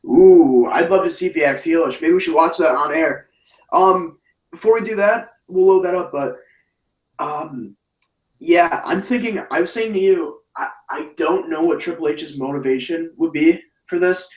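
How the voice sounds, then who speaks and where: A man with a hoarse voice hosting a casual wrestling review, talking in an animated, rambling way with many fillers and restarts. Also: fairly high pitch, moderate pace, roomy sound, distant mic, rough recording. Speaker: wrestling podcast host.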